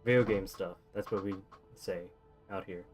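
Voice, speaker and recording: A man's voice: low voice, steady tone, normal speed, darkish tone. A man giving casual video game commentary, thinking aloud in a rambling way. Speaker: streamer playing a video game. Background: video game music.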